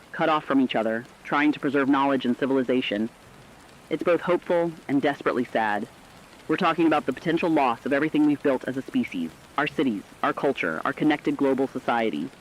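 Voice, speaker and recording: A man's voice: medium pitch, close to an everyday voice. A man playing a somber, compassionate radio commentator, speaking scripted reflections in an earnest way on a lo-fi broadcast. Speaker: radio co-anchor. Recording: dull lo-fi radio sound.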